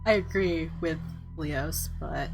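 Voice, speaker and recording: A woman's voice: low voice, slow, gravelly, music in the background, rough recording. A woman streaming a game with casual, playful commentary, reactive and prone to giggling. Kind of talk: casual let's play commentary with read-aloud narration.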